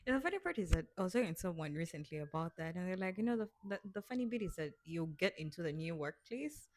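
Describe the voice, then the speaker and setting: A woman's voice: fairly low pitch, big pitch swings, quick pace, no echo. A woman in her twenties co-hosting a casual podcast, giving earnest advice in a chatty way. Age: 20s. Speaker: podcast co-host. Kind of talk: casual chat.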